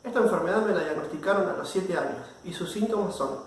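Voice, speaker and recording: A man with a fairly high voice explains his own experience. He sounds set back from the microphone in a strongly echoing room. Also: rapid-fire, slight background noise. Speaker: patient sharing personal experience. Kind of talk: explanatory personal testimonial. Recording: very echoey room, distant microphone.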